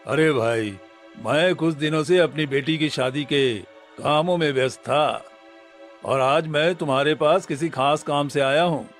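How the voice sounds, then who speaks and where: A man's voice: fairly high pitch, unhurried, faint music behind. A man playing a middle-aged village headman, an authoritative father of the bride, in a Hindi cartoon story. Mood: businesslike.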